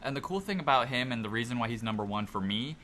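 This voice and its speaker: A man's voice: mid-range voice, animated, fast talker, pushed and bright. A man co-hosting a casual gaming podcast, explaining his picks in an informal, easygoing way. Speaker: podcast co-host. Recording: noisy amateur podcast recording.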